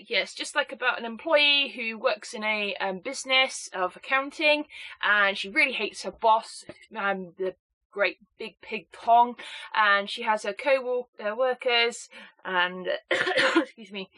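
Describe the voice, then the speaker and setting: A woman with a snuffly cold, chatting excitably and rambling through an unboxing review. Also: fairly high pitch, low-quality recording. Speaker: anime box reviewer.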